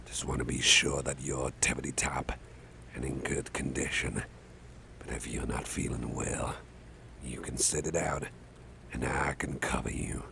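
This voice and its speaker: A man playing a caring, affable boss in an ASMR roleplay, talking softly in a gentle, hesitant, chatty way with a gravelly voice. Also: flat delivery, a little slow, full character voice. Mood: concerned, tender. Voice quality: deep, gravelly, breathy at times. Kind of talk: ASMR roleplay, soft close personal talk. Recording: faint background noise.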